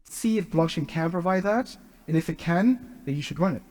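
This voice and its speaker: Man, conference speaker, slightly high voice, conversational, dry close sound. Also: expressive, moderate pace, darkish tone, dry recording. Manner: conversational, keeps checking in with "right?". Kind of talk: conference talk answering audience questions.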